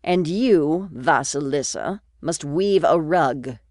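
Woman as stern, low-voiced stepmother. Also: animated, a little mellow, no room sound, clean studio sound. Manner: deliberate, commanding. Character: stern, scheming middle-aged stepmother giving orders. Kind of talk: audio drama fairy tale acting.

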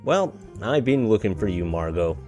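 A man's voice: fairly low pitch, very animated, moderate pace, darkish tone, soft background music. A man playing a genial, urbane married theater man in an audio drama, with a wry manner. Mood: uneasy, placating.

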